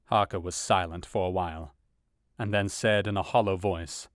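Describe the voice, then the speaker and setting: An actor playing a serious, observant gentleman, narrating events in a measured, formal way for a radio play. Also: sits low, steady tone, no echo, clean studio sound, lightly acted. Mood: grave, watchful.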